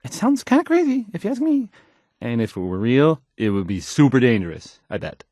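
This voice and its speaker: A man's voice: mid-range voice, huge pitch swings, dark tone, dry recording, studio quality. A man playing an awkward, unsure young radio DJ in a video game, stumbling hesitantly through news reports. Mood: nervous, doubtful. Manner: halting, stammering, hesitant, trailing off.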